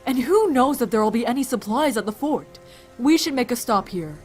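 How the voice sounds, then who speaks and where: A woman playing a brisk, practical doctor among apocalypse survivors in an audio drama. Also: fairly high pitch, animated, darkish tone, faint music behind, natural voice. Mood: urgent, practical. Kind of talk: fan audio drama dub acting.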